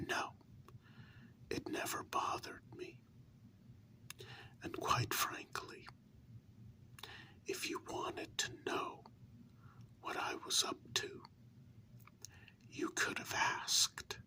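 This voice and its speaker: A man voicing a hurt human lover confronting a vampire partner in a whispered ASMR role-play, with earnest, deliberate, drawn-out words. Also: sharp tone, exaggerated voice. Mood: indignant, earnest. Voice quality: breathy unvoiced whisper.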